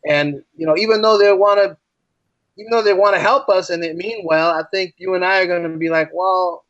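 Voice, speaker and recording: A man's voice: high-pitched, steady tone, a little fast, fairly bright tone, no echo, dull audio. An American man talking as a teacher being interviewed, making his points emphatically with repeated phrases and rhetorical questions. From United States. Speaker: podcast guest, teacher. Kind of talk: conversational interview.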